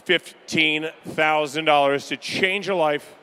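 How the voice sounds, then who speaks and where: A man calling pledges at a charity gala in a banquet room, energetic and upbeat with rapid-fire banter to the crowd. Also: on the high side, clear, resonant tone. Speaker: charity auctioneer. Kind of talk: live fundraising pledge calling.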